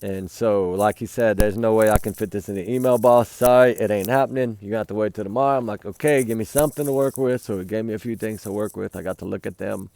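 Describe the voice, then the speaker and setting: Hoarse, gravelly man by a campfire. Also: on the low side, quick pace, few pauses, darkish tone. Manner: rambling, earnest, addresses viewers as y'all. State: losing his voice. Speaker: livestream host. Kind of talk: casual night talk to viewers. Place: outdoors by a campfire at night.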